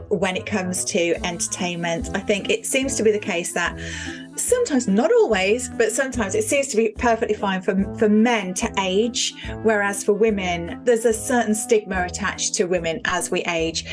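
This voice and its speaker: Woman in her fifties, chatty interviewee, emphatic repetition, comedian, faint music behind. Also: medium pitch. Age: 50s. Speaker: stand-up comedian being interviewed.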